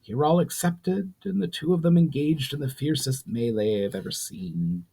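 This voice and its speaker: A man voicing an ancient, weary sorceress in a storybook reading, speaking slowly and solemnly with deep sorrow. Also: creaky, mellow, husky. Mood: grave, pained. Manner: slow, pained, solemn speech.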